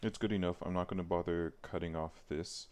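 A man's voice: deep voice, steady tone, fairly quick, a little mellow. A man live streaming, casually talking to himself in short remarks as he works at a computer. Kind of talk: casual muttering to self while video editing. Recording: clear background noise, typing and clicking. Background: keyboard typing and mouse clicks.

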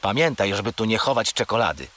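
An actor voicing a small furry toy companion, childlike, greedy and melodramatic, in a children's audio drama. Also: male voice, on the low side, expressive, fast, a bit bright. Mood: matter-of-fact. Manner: plaintive, melodramatic.

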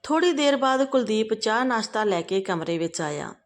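A woman's voice: on the high side, expressive, brisk. A woman reading a scripted family moral story as a storyteller.

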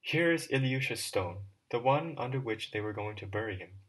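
A man doing an excited schoolboy blurting out. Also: mid-range voice, normal speed, slightly rough, rough recording. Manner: sudden, raised exclamation. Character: eager schoolboy, excited and sudden.

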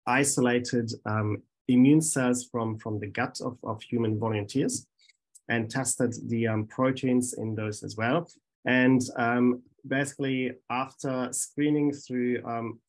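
A man's voice: mid-range voice, rough recording. A man in his thirties with a German accent, giving a hesitant academic talk over a video call from a small office, with many ums and restarts. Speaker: research scientist.

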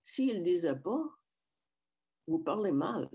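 An older woman with a Métis French accent, speaking slowly and reflectively in a personal talk over a headset on a video call from home. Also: on the low side, very animated, a little mellow, husky. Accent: Métis French from western Canada. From Saskatchewan, Canada. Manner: slow and reflective, with restarts and repetition. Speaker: guest speaker. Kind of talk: personal talk at a public event. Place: small room at home, indoors. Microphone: headset mic on a video call.

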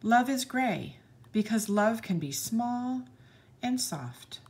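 A woman reading a picture book aloud to young children, speaking to them directly in an expressive storytelling manner. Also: a little high, takes it slowly.

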